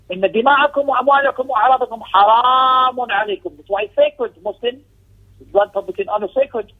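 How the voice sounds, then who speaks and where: A man's voice: high-pitched, vocal fry, breathy edge. A man teaching an Islamic lesson as a religious teacher, emphatic, with repeated phrases, rhetorical questions and shifts into Arabic recitation. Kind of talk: Islamic lecture with commentary on a text. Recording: tinny, narrow telephone-like audio.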